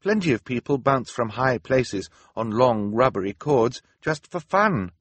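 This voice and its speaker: A man's voice: mid-range voice, big pitch swings, measured pace, breathy edge, no room sound, pitched above the performer's own voice. A man voicing an earnest, inventive teenage girl, an anxious older sister in an audiobook, explaining things carefully. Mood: determined. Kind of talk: audiobook character acting.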